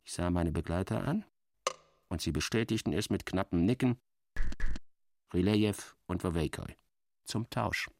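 A man's voice: sits low, moderate pace, vocal fry, long pauses, a little mellow, no echo, clean studio sound. An actor playing a sardonic, weary male journalist who narrates his own journey in a radio play, theatrically shifting between muttering and exclaiming. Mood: wry, observant. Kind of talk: radio play first-person narration with acted dialogue.

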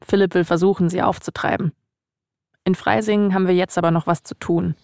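Woman, podcast reporter, low pitch, gravelly fry, storytelling narration. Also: fairly even intonation, brisk, a little mellow, no echo, clean studio sound. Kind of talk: scripted storytelling narration mixed with casual interview questions.

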